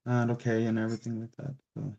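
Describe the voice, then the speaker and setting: Swedish guy rambling slow on his crafting stream, dark gravelly voice, muffled sound. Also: medium pitch, monotone. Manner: slow, hesitant, rambling with many restarts. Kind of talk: casual live-stream chat while crafting. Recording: dull lo-fi stream audio.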